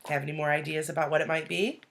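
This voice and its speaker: A woman reading a children's storybook aloud like a teacher, deliberately, pausing to explain and put questions to young listeners. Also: sits low, fairly even intonation, creaky, background noise. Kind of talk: expressive storybook read-aloud with asides and questions.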